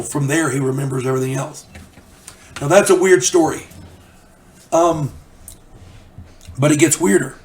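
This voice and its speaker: A man's voice: a little high, darkish tone. An older man, a channel host, telling a story in a folksy, rambling way while getting over a cold. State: recovering from a cold. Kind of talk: casual storytelling monologue.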